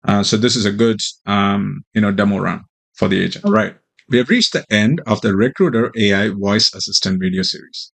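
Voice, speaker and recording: A man presenting a software demo in an informal tutorial walkthrough, explaining in a hesitant, halting way. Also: fairly low pitch, creaky.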